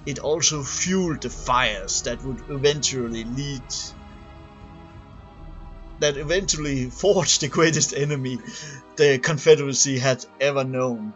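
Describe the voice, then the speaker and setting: A man reading a scripted narration aloud in a halting way, often stumbling over and repeating words. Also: medium pitch, fairly bright tone. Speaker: amateur reader of game lore. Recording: dull lo-fi home recording, music behind. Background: background music.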